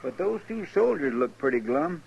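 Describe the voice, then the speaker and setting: An actor playing a folksy, respectful, good-humoured deputy with mock-formal phrasing in an old radio western. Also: male voice, on the high side, very animated, moderate pace, vocal fry, breathy edge, heavily acted. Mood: obliging. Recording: dull, noisy 1950s radio recording.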